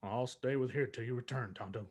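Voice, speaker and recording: A man playing a steady, commanding masked cowboy hero in an old-time western radio drama. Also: medium pitch, fairly quick, natural voice. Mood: concerned, decisive.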